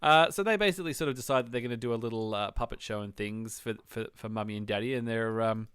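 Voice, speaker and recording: A man's voice: medium pitch, fast, a bit bright, clear, resonant tone, no room sound, studio quality. An Australian man, around his thirties or forties, co-hosting a podcast in casual chat, telling things in a wry, rambling way. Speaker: podcast co-host.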